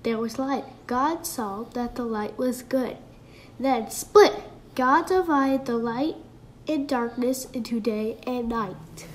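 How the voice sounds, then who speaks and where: A woman's voice: a little high, slow pace, slight background noise. A woman reading a children's creation story aloud, playfully voicing the sound effects.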